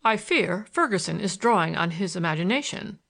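A woman voicing a courteous young gentleman in his twenties, wry and gently condescending, in audiobook dialogue. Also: lowish voice, animated, a little fast, vocal fry, full character voice. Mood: dry, prodding. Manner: polite, dry, questioning.

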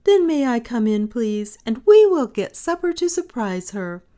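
A cheerful storybook girl on the edge of adulthood, played by a woman reader. She is friendly and kind. Her intonation is lively and reassures as she speaks. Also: on the high side, measured pace, pitched above the performer's own voice. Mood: friendly, polite. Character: kind, cheerful, nearly grown girl from the mountains.

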